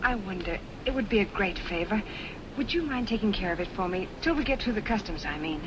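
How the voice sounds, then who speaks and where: A woman's voice: medium pitch, lively intonation, fairly quick, background noise, natural voice. An actress playing a polite young lady who is sweetly apologetic and persuasive in an old-style drama. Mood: anxious coaxing.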